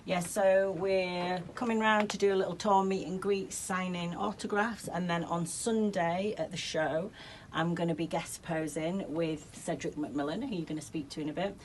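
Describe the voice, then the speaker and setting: A woman's voice: fairly low pitch, steady tone, moderate pace, a little hiss or hum. A woman with a strong Yorkshire accent answering interview questions in an upbeat, chatty way. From Yorkshire, England. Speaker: interview guest, co-host.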